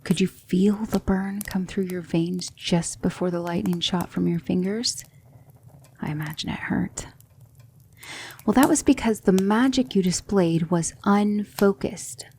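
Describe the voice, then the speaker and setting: A woman playing a gentle, patient demon mentor in an ASMR roleplay, speaking softly and often in a whisper, soothing and reassuring. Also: medium pitch, measured pace, a little hiss or hum, lightly acted. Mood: soothing, instructive.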